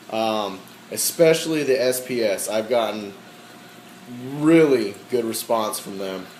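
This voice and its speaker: A man walking through his aquarium equipment in a casual, halting way, with many ums and frequent throat clearing, his voice slightly hoarse. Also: lowish voice, reverberant, slight background noise, rough recording. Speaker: aquarium hobbyist.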